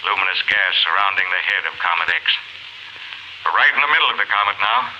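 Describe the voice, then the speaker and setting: An actor playing a grim, halting radio announcer in a doomed city, in a 1950s radio drama. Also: male voice, sits low, animated, pushed and bright, exaggerated voice. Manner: halting, urgent, resigned. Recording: dull, lightly noisy 1950s radio recording.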